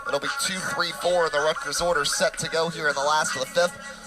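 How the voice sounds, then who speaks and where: A young man calling a college baseball game play-by-play, brisk and packed with stats. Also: on the high side, steady tone, over music. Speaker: play-by-play announcer.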